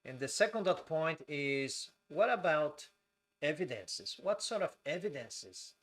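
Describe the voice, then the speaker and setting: Slow-speaking Brazilian man. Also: on the high side, very animated, fairly bright tone. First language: Portuguese. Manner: speaks slowly and deliberately with many fillers. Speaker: host of a live stream. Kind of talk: live-stream talk reading viewer comments.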